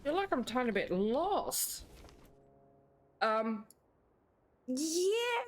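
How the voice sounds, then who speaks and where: Lively Dutch woman streaming a game. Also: sits high, extremely slow. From Netherlands. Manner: chatty, answers viewers, bursts of laughter. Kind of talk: live-streamed gameplay chat.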